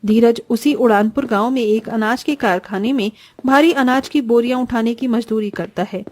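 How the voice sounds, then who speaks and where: High-pitched woman storyteller. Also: normal speed, a little mellow, no room sound. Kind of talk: scripted children's cartoon narration. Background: faint music.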